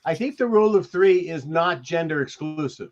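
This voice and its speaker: Older man, high-pitched, dull lo-fi sound. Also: normal speed, a loud crowd. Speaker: podcast panelist, TV industry veteran. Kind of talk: casual reminiscing chat. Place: home room, on a video call. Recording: dull lo-fi video call audio.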